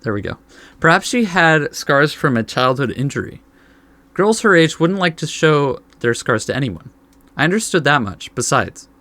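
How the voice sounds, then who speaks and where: A man's voice: a little high, a bit bright, no echo. A man hosting a let's play, reading a visual novel aloud with informal commentary and stumbling over the text now and then.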